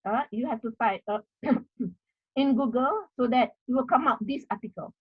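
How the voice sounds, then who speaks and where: A woman's voice: on the high side, moderate pace, breathy edge, no echo, dull audio. A middle-aged woman from Malaysia with a Malaysian English accent, giving an academic talk on article writing, repeating herself with many fillers. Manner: repetitive, explanatory, many fillers. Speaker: university lecturer.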